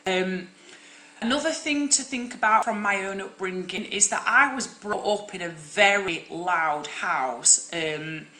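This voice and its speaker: Acting coach, young woman, bright forceful voice, loud projecting delivery, echoey room. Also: medium pitch, measured pace. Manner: loud, projecting, direct and encouraging. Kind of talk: live-streamed teaching talk.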